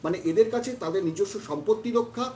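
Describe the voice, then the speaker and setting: A man's voice: high-pitched, fast, mellow, roomy sound. A man with a West Bengal Bengali accent commenting in a political debate, explaining and often rephrasing his points. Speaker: panel commentator.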